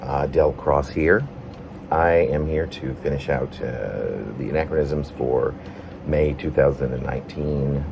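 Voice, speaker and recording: A man from Arkansas, a poet presenting and reading his own autobiographical work in an unhurried, personal way. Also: rumbling low, steady tone, creaky, a little mellow, a little hiss or hum. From Arkansas, United States. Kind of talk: casual introduction, then reading aloud autobiographical prose poem.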